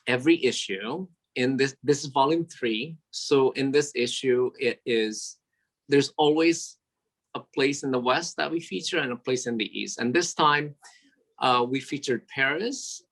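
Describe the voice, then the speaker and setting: A man who grew up speaking Javanese talks about design in an earnest, sentimental way. He speaks at a middling pitch, stops often on fillers, and restarts his sentences. He is recorded at his office at a city store. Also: poor audio. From Indonesia. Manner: warm, sentimental, halting with many fillers. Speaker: interior designer. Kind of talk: casual interview over video call.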